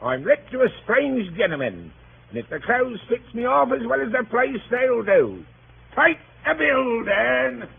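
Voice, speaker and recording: An actor playing a jaunty, wisecracking manservant in 1930s radio comedy style. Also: male voice, very high voice, very animated, measured pace, creaky, full character voice. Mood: shrewd, cheeky. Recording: scratchy, tinny 1938 radio broadcast.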